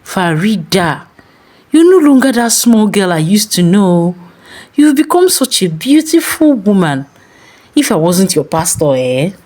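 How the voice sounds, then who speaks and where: A woman playing a smooth, fatherly older Nigerian pastor in a told story. The pitch swings widely as he coaxes, with a familiarity that is quietly predatory. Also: sing-song, unhurried. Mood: teasing, flattering. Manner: coaxing, casually over-familiar. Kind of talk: acted dialogue in a narrated folk tale.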